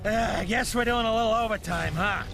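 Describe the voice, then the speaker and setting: A man's voice: high-pitched, brisk. A man playing a cocky, wisecracking little raccoon mercenary in a video game, brash, sarcastic and streetwise. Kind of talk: animated video game character acting. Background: music.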